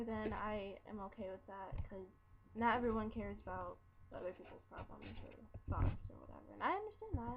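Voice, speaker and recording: A seventeen-year-old girl ranting casually to camera at home, rambling and halting with lots of fillers and restarts. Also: a little high, very noisy. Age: teens. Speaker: vlogger. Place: room at home. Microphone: built-in laptop mic. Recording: dull lo-fi laptop audio.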